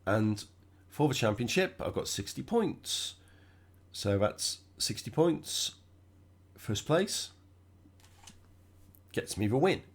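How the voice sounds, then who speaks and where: A man with a cold, sounding croaky and stuffed up, chatting casually through his own racing gameplay. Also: medium pitch, slow, long pauses. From United Kingdom. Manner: relaxed, chatty, thinking aloud while driving. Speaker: gaming channel host.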